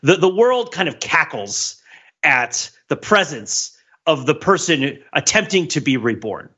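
A man delivers analytical podcast commentary. His voice is fairly high and bright, with a forceful edge, on a slightly dull recording. He phrases things deliberately, like a mock lecture, checking in with rhetorical tags. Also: very animated, husky. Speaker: podcast panelist.

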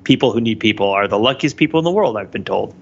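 A man's voice: fairly low pitch, expressive, fast, breathy edge. A man co-hosting a podcast with casual, humorous commentary, recorded in slightly dull, muffled audio. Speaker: podcast host.

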